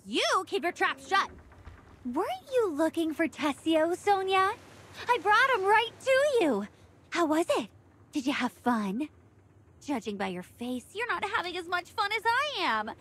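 A woman's voice: extremely high pitch, bright, forceful tone, heavily acted. An actress playing a deranged, vengeful young woman in a video game, with a theatrical, mocking, sing-song menace. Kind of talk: video game character acting.

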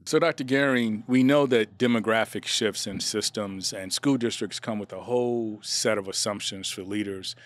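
A middle-aged man hosting a podcast interview, asking questions and murmuring agreement into a studio boom mic. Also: moderate pace, few pauses. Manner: affirming, frequent murmured agreement. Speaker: podcast host, district official.

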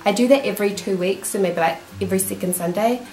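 A woman's voice: mid-range voice, quick pace, darkish tone, a little hiss or hum. A young woman with a New Zealand accent talks through her skincare products in a chatty, enthusiastic way. A cold leaves her voice nasal. She sits in a small room facing a camera, and the room gives the sound a noticeable echo. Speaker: beauty vlogger. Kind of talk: casual product review talk. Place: small room indoors, seated. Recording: roomy echo.

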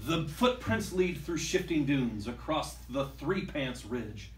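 A man in his thirties reads a picture book aloud to young children in an expressive, playful way, with chatty asides. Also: a little high, takes it slowly, far from the mic. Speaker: guest reader for a class of young children. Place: school classroom, standing before seated children. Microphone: distant camera microphone. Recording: echoey classroom from afar, faint noise.

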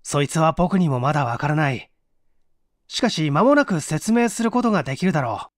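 A man voicing a brash, confident, impatient inventor in a storybook reading, brusque, hurried and assured. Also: fairly high pitch, lively intonation, no echo, studio quality, natural voice. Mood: confident, secretive.

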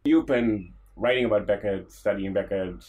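A man's voice: lowish voice, moderate pace, darkish tone. A man hosting an interview podcast, introducing it and putting questions to his guest. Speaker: podcast host.

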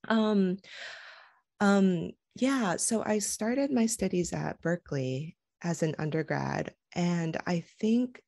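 A woman's voice: on the low side, takes it slowly, vocal fry, a little mellow, dry recording. A woman, a guest on a video-call interview, talking thoughtfully with frequent restarts and fillers. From United States. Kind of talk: casual conversational interview. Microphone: headset.

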